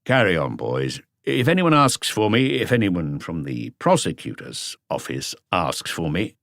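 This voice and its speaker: A man voicing a world-weary veteran police inspector in an audiobook, terse, measured and reflective. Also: low-pitched, very animated, gravelly, fairly bright tone, dry recording, clean studio sound, exaggerated voice. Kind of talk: audiobook character dialogue.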